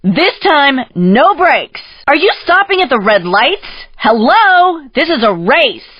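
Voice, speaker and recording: A woman's voice: sits high, sing-song, slow, no room sound, lo-fi sound, heavily acted. A woman playing a sassy, upbeat race announcer in a video game, teasing the driver with playful sarcasm. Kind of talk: scripted video game commentary.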